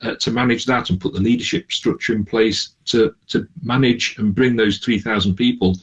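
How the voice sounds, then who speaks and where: On a somewhat dull recording, a man answers as an interview guest. His voice is fairly low and a little husky, and he explains in a conversational, halting way. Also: steady tone. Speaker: interview guest, charity manager.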